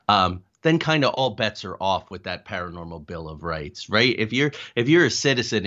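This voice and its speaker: A man's voice: fairly low pitch, fairly bright tone. A man giving earnest, explanatory interview answers as a guest, with emphatic repeated phrasing. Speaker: interview guest, paranormal investigator and author. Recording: dull lo-fi call audio.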